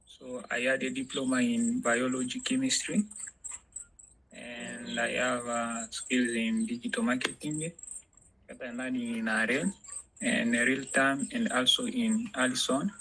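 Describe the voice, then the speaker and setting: A man from the Congo giving a polite, measured introduction to a class. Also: medium pitch, pushed and bright, slight background noise, rough recording. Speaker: student. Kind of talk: formal self-introduction.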